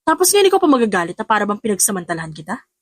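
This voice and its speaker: A woman's voice: mid-range voice, sing-song, racing, no echo, clean studio sound. A woman voicing a teasing, exasperated young man in an audiobook romance, scolding and smug. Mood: annoyed.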